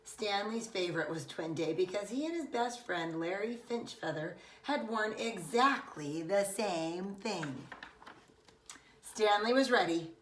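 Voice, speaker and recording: A middle-aged woman reads a children's story in a roomy, echoing space, a little away from the microphone. Her delivery is that of a preschool teacher, deliberate and expressive, with key words clearly stressed. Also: mid-range voice, slight background noise. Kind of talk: children's picture book read-aloud. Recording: echoey room, distant microphone.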